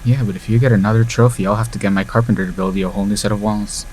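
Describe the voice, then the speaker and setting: A man acting in an audio drama as a polite, smooth casting director running an audition. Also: on the low side, level tone, very fast, a little mellow, slight background noise, close to an everyday voice, low-quality recording. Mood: coaxing.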